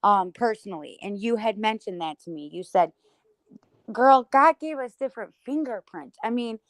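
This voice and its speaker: A woman's voice: medium pitch, animated, normal speed, dry recording. A woman in casual livestream conversation, talking emphatically and peppering her speech with "you know".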